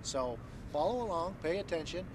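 A man's voice: fairly high pitch, animated, normal speed, noisy background. A man introducing a fishing show outdoors in a casual, joking way. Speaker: show host. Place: outdoors at a lake.

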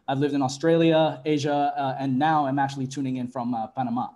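A man in his thirties from Fiji, introducing himself as a panelist on a video call in a relaxed, friendly way with many fillers. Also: medium pitch, brisk. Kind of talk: webinar self-introduction. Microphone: earbuds on a video call.

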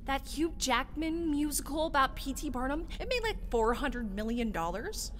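A woman playing an exasperated, quirky, all-powerful judge in a comedic fan dub, scolding in an animated, colloquial way. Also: high voice, moderate pace, slight background noise. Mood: indignant, scolding.

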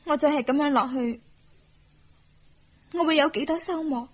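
An actress with a Hong Kong Cantonese accent playing a young, devoted, self-sacrificing wife in a radio drama, plaintive and earnest. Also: female voice, sits high, normal speed, natural voice. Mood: anguished, resigned.

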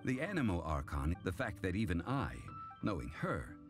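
Casting: wise, composed game scholar, deep voice, formal delivery with varied intonation. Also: male voice, sing-song. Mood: grave, explanatory. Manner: formal, measured, thoughtful. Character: Zhongli, calm dignified scholarly man, ancient and wise. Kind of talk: video game voice acting. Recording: game music behind the voice.

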